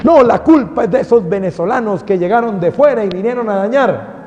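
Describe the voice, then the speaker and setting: Male priest, lively preaching, higher voice with a mellow tone, dull lo-fi recording in a reverberant hall. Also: fairly quick, a little hiss or hum. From Colombia. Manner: animated preaching with rhetorical questions. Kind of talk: sermon. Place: parish hall.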